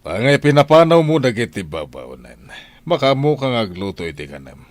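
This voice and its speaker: Man playing a blunt, animated Filipino husband. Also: medium pitch, normal speed, dry recording, close to an everyday voice. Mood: irritated. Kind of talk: radio drama acting.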